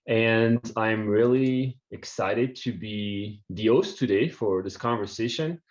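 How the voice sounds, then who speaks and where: A man from Belgium leads an online workshop as its host. His pitch sits mid-range and his talk is upbeat and filled with hesitations. The sound is slightly muffled, like call audio. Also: a little mellow. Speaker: host, bank program manager. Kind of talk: webinar hosting. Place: video call. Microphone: video call.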